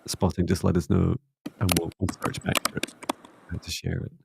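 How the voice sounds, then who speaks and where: A Scottish man hosting a live psychic reading, chatty and upbeat, slowing down gently when passing on messages. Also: low-pitched, completely flat delivery, vocal fry, very noisy. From Scotland. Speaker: psychic reader and co-host.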